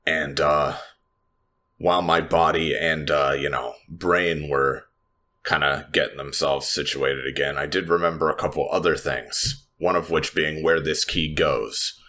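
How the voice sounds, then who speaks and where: A man acts out a guarded, modified woman fighter in a roleplaying game. The delivery is slow, deep and understated, with plenty of hesitation. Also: steady tone, sharp tone, heavily acted. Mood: hesitant. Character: guarded, blade-armed modified woman recounting her exploits.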